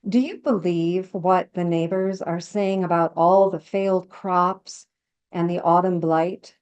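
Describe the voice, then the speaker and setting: A woman's voice: on the low side, steady tone, unhurried, darkish tone, smooth, dull audio, lightly acted. A woman reading a dramatic monologue as a chatty, sly older gardener serving herbal tea with coy, falsely sweet politeness. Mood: gossipy, insinuating.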